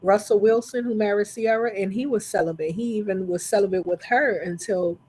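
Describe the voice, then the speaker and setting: A woman's voice: medium pitch, steady tone, fairly quick, mellow, low-quality recording. A woman talks on a loose panel debate with a mid-range voice, candid and chatty as she tells her stories. A faint hiss of background noise sits behind her. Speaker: panel guest.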